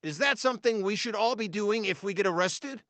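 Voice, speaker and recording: A man's voice: sits high, brisk, a bit bright, no echo. A man giving comedic reaction commentary in a mocking, exaggerated manner as a voice-over. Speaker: video commentator.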